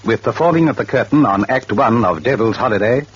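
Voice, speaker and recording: A man's voice: medium pitch, animated, fairly quick, very noisy. A man announcing in a formal, scripted old radio style. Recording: muffled old radio, loud music behind.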